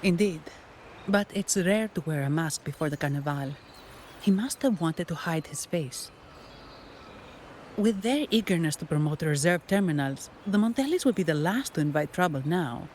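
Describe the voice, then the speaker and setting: An actress voicing a composed, strategic young noblewoman in a video game, speaking in a calm, measured, commanding way. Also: deep voice, slight background noise. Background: game music.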